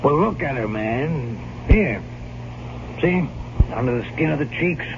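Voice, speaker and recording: An actor playing a grave, blunt family doctor in an old-time radio drama, speaking emphatically and with foreboding. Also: male voice, mid-range voice, lively intonation. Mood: insistent. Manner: emphatic, deliberate, ominous. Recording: dull, noisy vintage radio recording.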